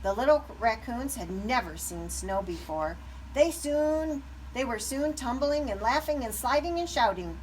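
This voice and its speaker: A woman narrating a children's story aloud with expressive, deliberate phrasing. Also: fairly high pitch, far from the mic, poor audio. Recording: background noise.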